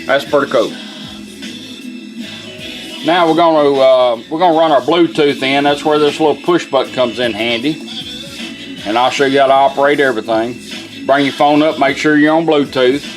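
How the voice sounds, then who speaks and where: A man's voice: medium pitch, normal speed, pauses often, over music, poor audio. A man giving a casual, plainspoken hands-on product demonstration in a folksy way, taking it step by step. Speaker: presenter demonstrating a vintage truck radio. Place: inside a pickup truck cab.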